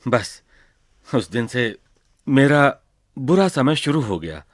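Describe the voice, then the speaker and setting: A humble, down-on-his-luck villager acted by a man for a cartoon story. He speaks slowly and hesitantly, full of sorrow, in a dry, close recording. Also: mid-range voice, sing-song, no room sound. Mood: sorrowful, humble. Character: poor, humble village shopkeeper fallen on hard times. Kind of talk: Hindi cartoon story acting.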